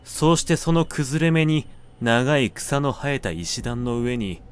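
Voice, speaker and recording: A man narrating a literary audiobook in a measured, deliberate manner with dramatic pauses. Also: medium pitch, lively intonation, clear, resonant tone. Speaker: narrator reading literature aloud.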